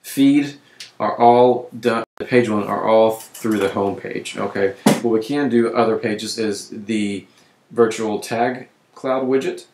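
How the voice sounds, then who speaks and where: A man with a voice that is giving out, who clears his throat often and keeps correcting himself while walking through software on screen. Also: lowish voice, steady tone, slow, roomy sound, a little hiss or hum, rough recording. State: losing his voice. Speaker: web tutorial presenter. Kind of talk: screen-recorded software walkthrough.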